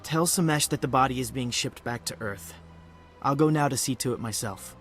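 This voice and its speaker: An actor playing a defensive, formal human military bureaucrat in a video game, earnest in delivery. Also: male voice, mid-range voice, normal speed, a bit bright, soft background music, lightly acted. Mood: resigned. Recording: faint noise and ambience.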